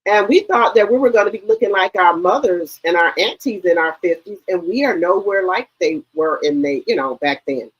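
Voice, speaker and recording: A woman's voice: on the low side, expressive, brisk, hardly any pauses, slightly rough, highs cut off. A woman in her fifties hosting a gossip livestream, chatting straight to her viewers. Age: 50s. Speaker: livestream host.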